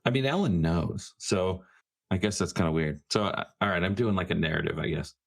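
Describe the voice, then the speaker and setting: A man playing a tabletop roleplaying game, speaking informally and haltingly as he improvises. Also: sits low, vocal fry.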